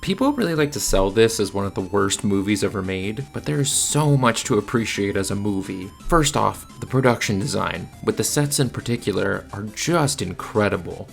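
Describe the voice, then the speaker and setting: Low, creaky-voiced man reviewing films. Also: expressive, normal speed, hardly any pauses, soft background music. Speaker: video essayist and film reviewer. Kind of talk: conversational scripted review narration.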